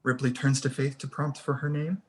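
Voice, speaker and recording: A woman's voice: rumbling low, level tone, fairly quick, darkish tone. A woman reading screenplay stage directions as the narrator of a table read.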